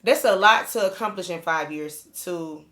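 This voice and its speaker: A woman in her thirties co-hosting a podcast, rambling in relaxed casual chat and laughing easily. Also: lowish voice, moderate pace. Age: 30s. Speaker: podcast co-host.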